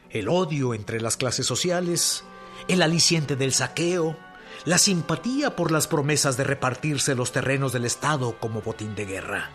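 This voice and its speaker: Animated, stern Mexican governor. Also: male voice, fairly high pitch. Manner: formal, rhetorical. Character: stern, exasperated male Mexican state governor. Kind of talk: dramatized radio oratory.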